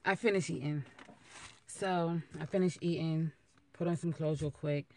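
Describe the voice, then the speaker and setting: A woman's voice: sits low, fairly even intonation, normal speed, a little mellow. Chatty woman vlogging from her car. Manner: playful, talkative, sing-song asides. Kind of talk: casual chat to camera. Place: living room at home, then parked car. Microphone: phone camera held close.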